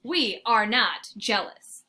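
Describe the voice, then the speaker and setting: A woman voicing a gruff, snappy, skeptical female dog in a storybook, with a challenging manner. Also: fairly high pitch, lively intonation, slow pace, bright, forceful tone, heavily acted. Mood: offended.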